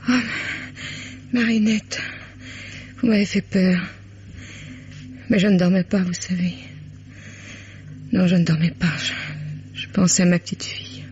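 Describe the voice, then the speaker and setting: A woman's voice: lowish voice, fairly even intonation, unhurried, long pauses, darkish tone, natural voice. An actress playing a stunned, bereaved middle-aged mother with cold, controlled formality in a 1950s-style French radio drama. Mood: weary, dazed. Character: bereaved mother, stunned, coldly composed and accusing. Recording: dull lo-fi old radio, noisy.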